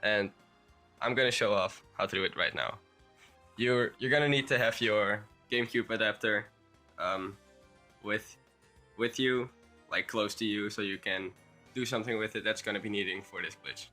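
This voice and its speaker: Dutch-accented young man, bright voice. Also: lowish voice, normal speed, faint music behind. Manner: rambling, hesitant with restarts. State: sick. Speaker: YouTuber. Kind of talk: game tutorial voice-over.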